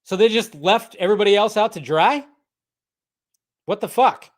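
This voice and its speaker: High-voiced man ranting, blunt and exasperated. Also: normal speed. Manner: exasperated, blunt, heavy swearing; emphatic repetition of phrases. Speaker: host of a live fan commentary show. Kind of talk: unscripted ranting commentary.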